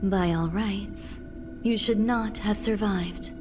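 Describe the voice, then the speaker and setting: A woman playing a composed, mysterious healer in a game cutscene, speaking in a measured, detached, businesslike way. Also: mid-range voice, lightly acted. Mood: cool, composed. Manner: measured, detached, matter-of-fact. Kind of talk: dramatic video game cutscene dialogue. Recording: music under the voice.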